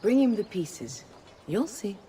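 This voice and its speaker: A woman's voice: mid-range voice, big pitch swings, takes it slowly, mellow, a little hiss or hum. A woman voicing a poised, shrewd mentor and madam with an Italian accent. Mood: instructive. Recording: long reverb, faint music.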